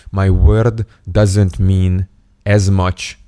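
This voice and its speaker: A young man from Hungary speaks English in a very deep, low register. He talks to the camera in a playful, self-mocking and candid way, like a casual vlogger. The sound is dry and close, with no room echo. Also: little pitch movement, very slow, dark tone. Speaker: self-improvement YouTuber. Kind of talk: casual storytelling monologue to camera.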